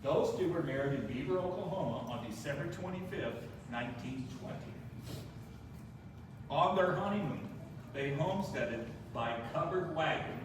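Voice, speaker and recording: Halting man reading in an echoey room. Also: on the high side. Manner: halting, stops and restarts words often. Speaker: family member reading a birthday tribute. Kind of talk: reading a prepared life story aloud. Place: birthday celebration in a large room. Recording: echoey room, far from the mic, clear background noise.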